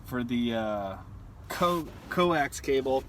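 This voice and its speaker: Casual man, clearly noisy outdoor recording. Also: mid-range voice, expressive, takes it slowly. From Southern California, lives there. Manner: laid-back, hesitant, self-effacing, loosely worded. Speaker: vlogger demonstrating a DIY truck install. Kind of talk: casual unscripted how-to walkthrough. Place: outdoors in and under a pickup truck. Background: clear outdoor and vehicle ambience.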